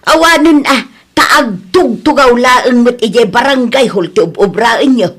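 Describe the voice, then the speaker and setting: A woman's voice: on the high side, animated, a little slow, a bit bright. A woman with an Ilocano accent playing an outspoken, scolding village woman, blunt and firm, in an audio drama. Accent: Ilocano, northern Philippines. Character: scolding, outspoken village woman who stands her ground.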